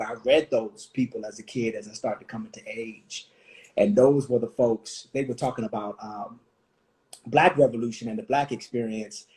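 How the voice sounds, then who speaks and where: A man in his forties from Washington, D.C., hosting a reflective conversation, thoughtful and full of pauses and restarts. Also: medium pitch, warm tone, rough recording. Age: 40s. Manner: thoughtful, many fillers and restarts. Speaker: host.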